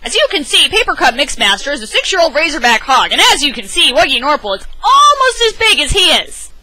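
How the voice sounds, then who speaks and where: An excitable storybook fellow played by a woman, forever insisting on his point. The voice is pushed high and bright, with big swoops and emphatic exclamations. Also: a little fast, heavily acted. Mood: proud, insistent. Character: Lunchbox Louie, excitable, insistent male storybook pet owner.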